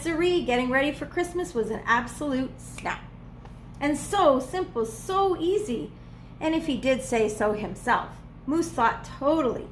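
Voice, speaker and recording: A woman reading a children's storybook aloud as a preschool teacher would, with expressive, playful emphasis. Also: sits high, a little hiss or hum. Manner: dramatic, playful emphasis on repeated words.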